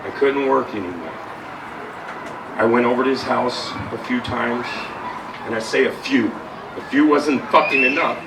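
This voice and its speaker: A middle-aged man with a loud voice giving an unscripted, rambling memorial tribute, blunt and swearing freely. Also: mid-range voice, normal speed, long pauses. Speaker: eulogy speaker. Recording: echoey room, mic far off, loud noise.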